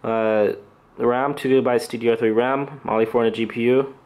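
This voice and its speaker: A man giving an unscripted product review, speaking in a hesitant, halting, off-the-cuff way as a channel host. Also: mid-range voice, fairly even intonation, a little mellow.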